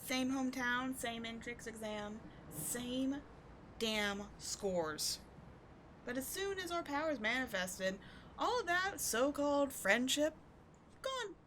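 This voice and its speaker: A woman's voice: high voice, expressive, slow pace, a bit bright, full character voice, poor audio. A woman playing a bitter, wounded villain who secretly cares, sardonic and exasperated, in a dramatic ASMR audio roleplay. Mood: bitter, resentful. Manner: sardonic, exasperated, emotionally intense. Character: bitter, wounded female villain secretly caring for rival sidekick. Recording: clearly audible background noise.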